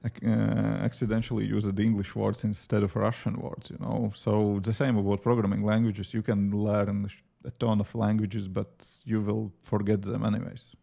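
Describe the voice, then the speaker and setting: A man's voice: fairly low pitch, level tone, moderate pace, gravelly, few pauses, warm tone. A man with a Latvian accent, a native Latvian speaker, chatting casually on a live coding stream, answering viewer questions between tasks with many fillers. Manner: rambling, answers chat questions while working, many fillers. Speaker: livestreaming app developer.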